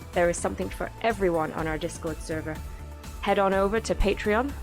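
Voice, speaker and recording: Woman announcer reading a promo over music. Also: mid-range voice. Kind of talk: scripted promotional read. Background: faint music.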